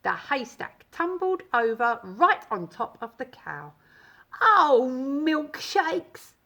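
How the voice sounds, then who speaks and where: Female children's storyteller: high voice, emphatic and dramatic, unhurried delivery. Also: big pitch swings, creaky, pushed and bright. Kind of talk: expressive children's storybook reading.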